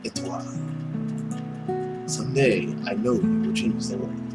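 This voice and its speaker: A man voicing a courteous, wistful marquis in a visual novel, speaking softly and tenderly in measured phrases. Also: fairly low pitch, loud music behind the voice, pitched below the performer's own voice, close to an everyday voice. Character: gentle, wistful young marquis, courteous and charming. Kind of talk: visual novel character read-aloud.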